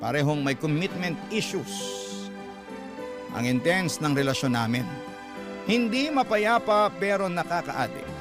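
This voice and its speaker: A man's voice: on the high side, expressive, measured pace. A man narrating a confessional letter as a young man looking back on a troubled relationship. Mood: reflective. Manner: confessional, reflective. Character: young man recounting his love life. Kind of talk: radio drama letter narration. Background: background music.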